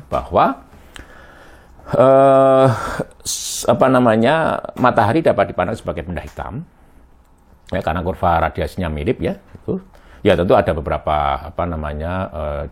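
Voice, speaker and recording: A middle-aged man with a very deep voice teaches an astrophysics lecture. He speaks in a halting way, filling pauses with hums and tag questions. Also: creaky, husky. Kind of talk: recorded university lecture.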